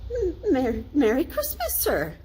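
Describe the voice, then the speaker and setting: A humble, warm-hearted clerk in a storybook, played by a woman. The voice is raised in pitch and sincere, with faint noise behind it. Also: wildly swooping pitch, unhurried, exaggerated voice. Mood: surprised, welcoming.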